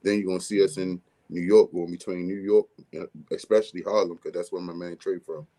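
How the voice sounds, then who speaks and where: A man from with a deep, bass-heavy, mumbly voice, talking casually as a music artist guest in an interview. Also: level tone, brisk, warm tone. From Baltimore, USA. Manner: mumbly, laid-back streetwise delivery.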